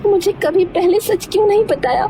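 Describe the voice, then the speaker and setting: A woman's voice: very high voice, moderate pace, noisy background, voice pushed up. A woman voicing a worried, demanding village wife in a Hindi cartoon story. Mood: demanding, upset.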